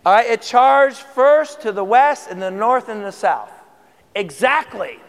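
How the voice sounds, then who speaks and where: High-voiced male preacher in a reverberant hall. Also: lively intonation, unhurried. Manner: conversational, asks the audience questions. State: tired voice. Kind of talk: sermon teaching.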